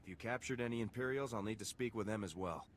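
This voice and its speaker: An actor playing a resolute, dutiful lieutenant in a video game, terse and confident. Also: male voice, on the low side, fast, lightly acted. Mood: firm. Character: resolute, dutiful male military lieutenant hero. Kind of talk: scripted video game dialogue. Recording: clear music behind the voice.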